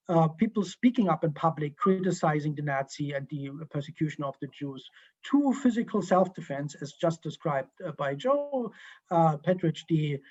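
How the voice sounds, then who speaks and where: Man, German accent, fairly high voice, hesitant with many fillers, dull lo-fi video call sound. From East Germany. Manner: halting, many ums and uhs, restarts. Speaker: guest historian. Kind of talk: Q&A answers over a video call. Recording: muffled video call audio.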